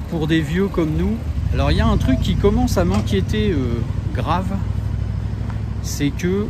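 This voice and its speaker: A middle-aged man with a fairly high voice talks casually to his camera as he vlogs. His manner is informal and a little wry. The recording is outdoors, with clear street and vehicle noise around him. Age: middle-aged, about 50s. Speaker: vlogger. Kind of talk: casual vlog chat to camera. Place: outdoors in a busy mountain village car park and show ground. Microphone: handheld camera at arm's length.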